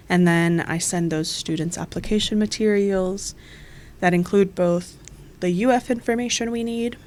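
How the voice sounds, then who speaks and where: A woman's voice: mid-range voice, a little hiss or hum. A young woman from Florida, an exchange coordinator, explaining her job in friendly detail in an interview. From Florida, USA. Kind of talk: interview answers.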